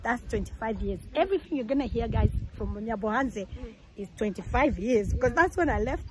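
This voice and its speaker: A middle-aged woman from Tanzania, chatting casually to a phone camera outdoors in a village field, animated and laughing as she tells stories. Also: a little high, fairly quick, background noise. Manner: animated, laughing, enthusiastic storytelling. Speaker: visitor from the UK.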